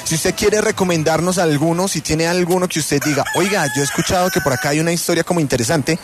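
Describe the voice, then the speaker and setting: Colombian male radio host, lively promo patter over soft music. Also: a little high, quick pace. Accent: Colombian Spanish. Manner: energetic, promotional, colloquial. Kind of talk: upbeat radio show patter.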